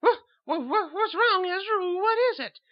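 A man voicing a timid, fretful old spinster, speaking in a stammering, pleading falsetto. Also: very animated, a little slow, gravelly, lo-fi sound, exaggerated voice.

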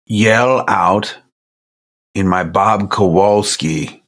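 A man's voice: on the low side, extremely slow, a bit bright. A man chatting casually as a player in a tabletop game.

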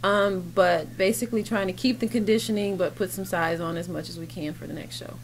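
A woman's voice: fairly low pitch, steady tone, brisk. A woman in her forties giving casual, explanatory answers as an interview guest. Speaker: surgeon and competitor, interview guest. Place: indoor backdrop set at a competition venue. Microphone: interviewer's handheld microphone. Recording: noisy event venue ambience.

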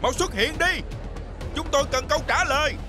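Very high, agitated cartoon townsman. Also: fairly quick, over loud music. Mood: demanding. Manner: insistent, excitable.

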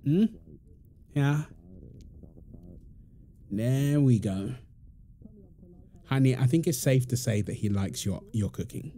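A man playing a playful, affectionate young father in an intimate roleplay audio, chatting casually and softly as he teases. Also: mid-range voice, lively intonation, takes it slowly, pauses often, slight background noise. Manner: teasing, soft-spoken, chuckling, informal.

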